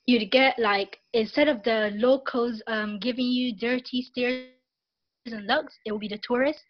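A woman's voice: fairly high pitch, fairly even intonation, unhurried, no echo, dull audio. A young woman from Barbados with a Barbadian accent, a guest talking casually in an interview, with many fillers and restarts.

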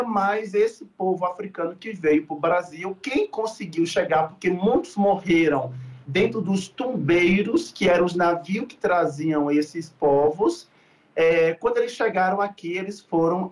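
A man from Salvador, a podcast guest talking in an earnest, reflective way with many fillers. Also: sits high. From Salvador, Bahia, Brazil. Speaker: guest, food researcher. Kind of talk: podcast interview.

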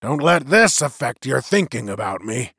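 A man's voice: mid-range voice, big pitch swings, normal speed, gravelly, a bit bright, husky, no room sound, studio quality, heavily acted. A man voicing a one-eyed male veteran who speaks in a blunt, stubborn, emphatic way.

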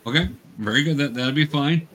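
A man's voice: on the high side, brisk, a bit bright. A man runs a tabletop game in a casual, instructive manner. He narrates scenes and patiently guides new players with encouragement. The recording carries light background noise. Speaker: game master teaching young players.

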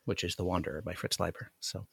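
A man's voice: sits low, little pitch movement, fast talker, vocal fry, fairly bright tone, slightly rough, dry recording, clean studio sound. A man with an American accent, talking casually as a guest on a podcast. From United States, Alaska. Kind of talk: casual chat.

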